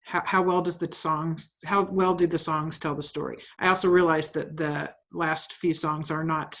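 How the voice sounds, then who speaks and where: A woman's voice: sits low, steady tone, moderate pace, creaky, a bit bright. A woman playwright explaining her project informally, often rephrasing as she asks for feedback.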